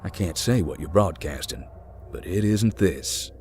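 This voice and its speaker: An actor voicing a calm young man who hunts ghosts, speaking in a dry, matter-of-fact way. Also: deep voice, unhurried, heavy background noise. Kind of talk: visual novel voice acting.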